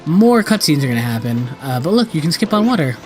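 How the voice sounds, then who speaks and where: High-voiced man rambling over game music. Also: sing-song, fast talker, a little mellow. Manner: rambling, casually sweary. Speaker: video game player and commentator. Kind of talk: casual let's play commentary.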